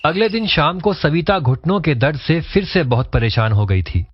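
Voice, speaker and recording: A man's voice: a little high, expressive, normal speed, a little hiss or hum. A man reading scripted narration for a cartoon story, in a dull lo-fi recording. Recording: dull lo-fi, dry sound.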